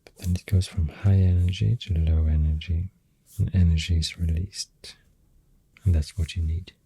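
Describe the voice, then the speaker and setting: Very low, breathy Swedish-accented ASMR voice. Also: little pitch movement, normal speed, no room sound. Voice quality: very soft, breathy, often dropping to a whisper. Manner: hesitant, thinking aloud. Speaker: ASMR video creator. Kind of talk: soft-spoken ASMR, rambling science facts. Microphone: close microphone.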